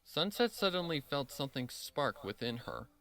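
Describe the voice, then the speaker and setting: Bright-voiced man narrating a story. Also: mid-range voice, big pitch swings, moderate pace. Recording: music under the voice.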